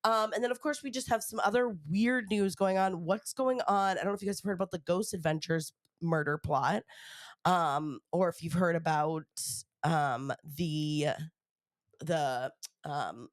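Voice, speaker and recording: A woman's voice: lowish voice, unhurried, no echo, studio quality. A woman hosting a podcast with a stuffy nose from a cold, chatting casually with lots of fillers. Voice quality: nasal. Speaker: podcast host. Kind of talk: casual chat.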